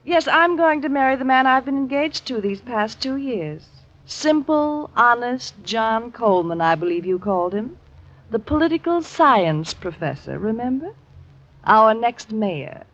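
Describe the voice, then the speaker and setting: A woman's voice: fairly high pitch, very animated, measured pace. An actress playing a cool, scornful, triumphant woman in a 1950s radio drama. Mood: mocking, triumphant. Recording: dull old radio recording.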